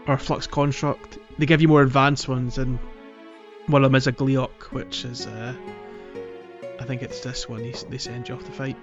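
A man's voice: mid-range voice, level tone, normal speed, muffled recording, music in the background. A man co-hosting a video game podcast in casual, enthusiastic chat, often feeling his way through a thought. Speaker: podcast co-host.

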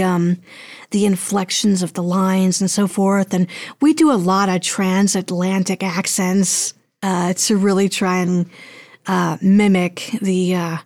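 A woman's voice: medium pitch, darkish tone, no echo, clean studio sound. A woman speaking casually as an interview guest, thinking aloud in a hesitant, rambling way. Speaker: guest, audio drama producer and voice actor.

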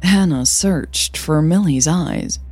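A woman narrating audio fiction as the host, in a measured, deliberate storytelling manner. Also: sits low, a little mellow, breathy edge, soft background music.

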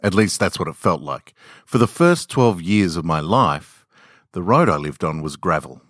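A man narrating scripted short stories in a deliberate, measured, dramatic storytelling manner. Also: deep voice, very animated, fairly bright tone, no room sound, clean studio sound. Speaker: podcast host reading short stories.

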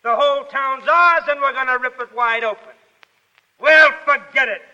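Bullying army sergeant in old 30s radio play, very high sharp voice, dull old recording. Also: male voice, moderate pace, full character voice. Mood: stern, sarcastic. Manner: gruff, shouted military commands. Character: barking, bullying Foreign Legion sergeant, sarcastic and tough. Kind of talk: 1930s radio drama acting.

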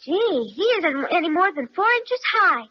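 A woman's voice: extremely high pitch, sing-song, moderate pace. A woman playing an eager, bossy little girl in an old-time radio children's serial, with chirpy, emphatic phrasing. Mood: lively, excited. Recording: dull old radio recording.

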